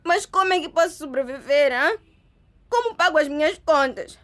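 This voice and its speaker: A despairing young woman in a radio drama. Her voice is high and plaintive, close to tears. She sounds wronged and beaten down. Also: expressive, normal speed, a bit bright. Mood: hurt, pleading. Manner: tearful, plaintive. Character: despairing young woman, a wronged cousin who lost everything.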